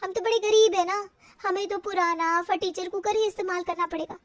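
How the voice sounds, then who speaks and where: Woman doing a whiny, very high young daughter-in-law. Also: fairly even intonation, a little fast, no room sound. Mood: sarcastic, whining. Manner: plaintive, sarcastic.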